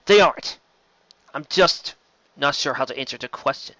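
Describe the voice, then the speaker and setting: A man's voice: mid-range voice, moderate pace, bright, forceful tone, dry recording, highs cut off, close to an everyday voice. Man acting bitter, defiant captive war captain. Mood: dry defiance. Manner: sardonic, embittered.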